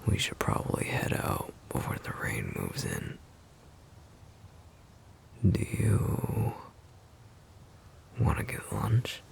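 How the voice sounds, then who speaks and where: A man playing a sweet, bashful wolf-shifter boyfriend in an intimate ASMR roleplay, speaking softly and haltingly in a breathy murmur. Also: huge pitch swings, heavily acted. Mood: gentle, fond. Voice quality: very deep, breathy, gravelly murmur. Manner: hesitant, halting, soft-spoken. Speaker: audio roleplay performer.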